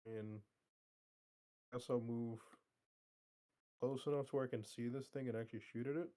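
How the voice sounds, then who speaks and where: Hesitant player with a mellow, dark voice. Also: male voice, medium pitch, fairly even intonation, normal speed. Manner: hesitant, lots of fillers, quotes action-movie lines. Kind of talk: casual tabletop play.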